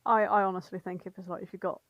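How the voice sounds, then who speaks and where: A woman's voice: mid-range voice, level tone, quick pace, no room sound, dull audio. A woman chatting casually as a co-host on a podcast. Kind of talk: casual chat.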